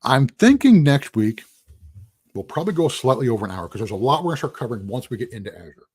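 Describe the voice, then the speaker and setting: A husky man, losing his voice, teaching a casual live-streamed lecture in a rambling, conversational way with asides. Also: medium pitch, very animated, quick pace, darkish tone, no room sound, clean studio sound. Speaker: instructor.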